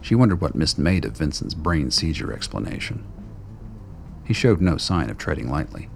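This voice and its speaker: A man's voice: rumbling low, sing-song, moderate pace, gravelly, a little hiss or hum. A man reading scripted narration as the narrator of a radio drama.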